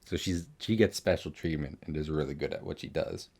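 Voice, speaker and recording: A man's voice: very deep voice, brisk, darkish tone, husky. A young man giving a casual, rambling recap as a podcast host, swearing freely as he goes. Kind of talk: casual chatty recap of an animated series.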